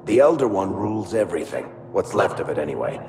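An actor playing a wry, roguish dwarf in a video game, wisecracking and sardonic. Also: male voice, low voice, big pitch swings, fast. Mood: grim, wry.

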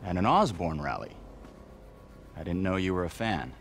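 An actor playing a middle-aged businessman, polite and measured with veiled menace, in an understated video game style. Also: low voice, sing-song, soft background music. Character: composed, veiled-menace businessman and shelter boss.

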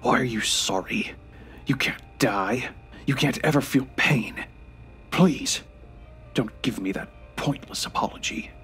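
An actor playing a dying ninety-three-year-old grandfather in an audio drama, speaking in a halting, deliberate way with breathy near-whispers. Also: mid-range voice, expressive, a bit bright. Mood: bitter, resigned. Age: 90s as played. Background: music.

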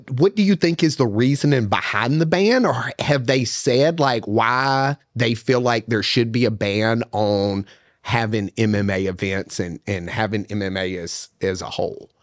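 A man's voice: medium pitch, unhurried, dry recording, clean studio sound. Laid-back man co-hosting a podcast. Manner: laid-back, rambling questions with many fillers. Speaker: podcast co-host. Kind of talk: casual interview chat. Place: studio set with couch. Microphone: boom mic with headphones.

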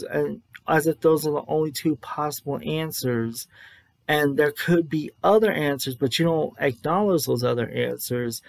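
A tired young man giving casual, rambling commentary to camera, halting and repeating himself as he searches for words. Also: on the high side, gravelly, no echo. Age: young adult. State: tired, little sleep. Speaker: vlogger reviewing a book. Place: living room indoors.

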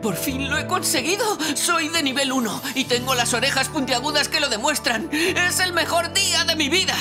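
An earnest young elf hero, voiced by a woman, speaks high and pompously, like a valiant adventurer in a children's cartoon. Prominent music plays behind the voice. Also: moderate pace, close to an everyday voice. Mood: heroic zeal. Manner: pompous, heroic, archaic phrasing. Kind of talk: animated children's cartoon dub.

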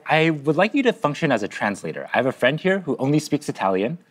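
A man's voice: on the high side, very animated, fast talker, faint music behind. A young man giving a casual live product demonstration. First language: English. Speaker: product demo presenter. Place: studio set, indoors.

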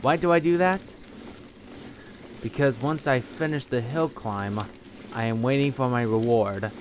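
A young man hosting a game playthrough, chatting casually and reading the game's text aloud in a steady voice. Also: mid-range voice, measured pace, slight background noise. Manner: reads text in a flat steady voice, breaks into laughter. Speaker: let's play host.

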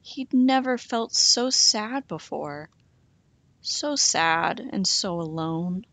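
A woman reading a rhyming picture book aloud for children, slow and expressive with a sing-song lilt. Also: mid-range voice, no echo. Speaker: storytime reader.

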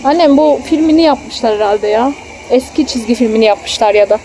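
A woman with a high, softly mellow voice talks casually to a child, explaining what is around them. She is recorded on a handheld phone outdoors, with faint ambient noise behind her. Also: fairly quick, poor audio. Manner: explains and points things out. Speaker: mother filming a family outing. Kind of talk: casual vlog chat with a child. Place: outdoors in a theme park.

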